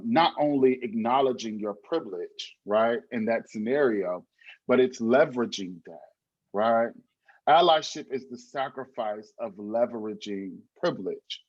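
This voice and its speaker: A man's voice: medium pitch, muffled recording. A man speaking as a panelist on a video call, emphatic and preacherly, repeating his key points.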